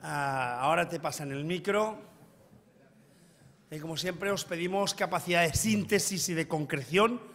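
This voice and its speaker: A man's voice: a little high, unhurried, a bit bright. Man moderating a conference Q&A. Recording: reverberant conference hall.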